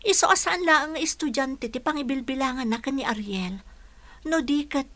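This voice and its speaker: A woman's voice: sits high, normal speed, sharp tone, no room sound. A woman with an Ilocano accent playing a caring, earnest teacher in a radio drama, speaking conversationally. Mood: earnest concern. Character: caring, earnest female special-education teacher, a loyal colleague.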